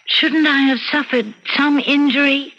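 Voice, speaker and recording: An actress playing an evasive, discontented wife in an old-time radio mystery, with measured, dutiful answers. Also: female voice, high-pitched, steady tone, pushed and bright, heavily acted. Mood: guarded, puzzled. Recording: noisy, muffled radio drama recording.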